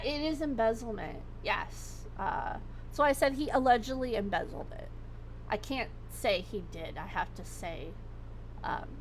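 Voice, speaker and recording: A woman livestreamer chatting casually, her voice going hoarse as she rambles and swears freely. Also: medium pitch, a little hiss or hum, low-quality recording. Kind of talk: casual chat.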